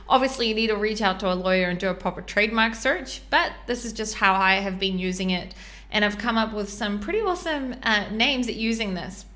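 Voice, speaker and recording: A woman's voice: medium pitch, a little fast, pushed and bright. A woman with a New Zealand accent hosting a podcast and walking listeners step by step through a live screen-share tutorial, chatty and full of ums and uhs. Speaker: podcast host.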